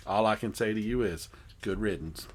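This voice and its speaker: A man's voice: lowish voice, moderate pace. A man co-hosting a podcast in casual banter, rambling into goofy, joking asides. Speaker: podcast co-host.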